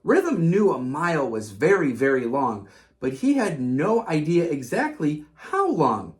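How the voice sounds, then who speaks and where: Female storyteller for a kids' read-aloud, very lively, swooping intonation. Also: low-pitched, measured pace. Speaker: children's storybook reader. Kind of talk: animated read-aloud narration for children.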